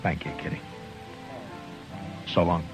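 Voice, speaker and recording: An actor playing a grim, determined frontier marshal in a 1950s radio western, speaking quietly and tersely. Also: male voice, slow, faint music behind, lightly acted. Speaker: marshal and narrator. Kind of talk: 1950s radio drama acting. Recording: dull lo-fi vintage radio recording.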